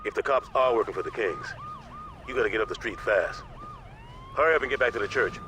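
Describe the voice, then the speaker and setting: Urgent, fast-talking gangster. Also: male voice, medium pitch, huge pitch swings. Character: urgent male gang ally warning a friend. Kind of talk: video game cutscene acting. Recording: noisy, sirens behind.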